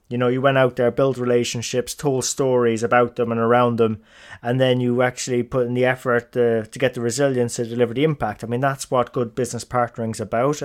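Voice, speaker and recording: Male host, steady flat delivery, conversational, affirming, you-knows. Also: mid-range voice. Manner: affirming, conversational, loose phrasing. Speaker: podcast host. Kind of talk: podcast interview.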